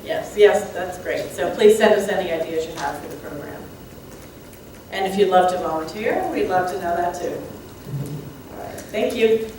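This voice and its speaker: A woman's voice: on the low side, normal speed, darkish tone. A woman conference co-chair making informal, somewhat hesitant announcements. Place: conference room. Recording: very echoey room, distant mic, noise.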